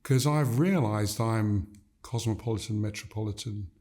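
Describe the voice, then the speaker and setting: An older man from Gambia, a leadership coach, talking in an interview in a measured, emphatic way that builds points through repeated phrases. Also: lowish voice, a little mellow. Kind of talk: reflective interview conversation.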